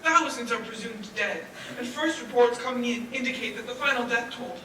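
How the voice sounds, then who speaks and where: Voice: mid-range voice, fairly quick, pushed and bright, close to an everyday voice. A teenager acting a grave radio news announcer, reading a formal bulletin in a stage play. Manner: solemn, formal. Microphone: far from mic. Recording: roomy distant auditorium, faint noise.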